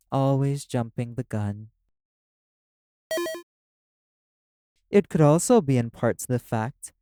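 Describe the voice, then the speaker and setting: A man playing a proud, ancient arch-demon who runs a casino in an audio roleplay, with a haughty, measured, theatrical drawl. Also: medium pitch, mellow, no room sound, voice pushed up, lightly acted. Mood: condescending, amused. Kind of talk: scripted audio roleplay monologue.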